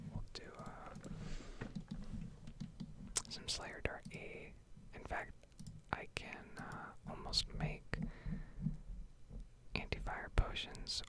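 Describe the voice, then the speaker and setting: A woman speaking in a breathy whisper, rambling analytically as she hosts an ASMR gameplay video. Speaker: ASMR gaming video host.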